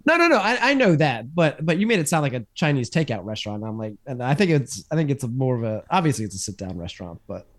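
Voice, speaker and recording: A man co-hosting a podcast, quick to correct details with emphatic repeated words in casual chat. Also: mid-range voice, big pitch swings. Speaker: podcast co-host recapping a sitcom episode.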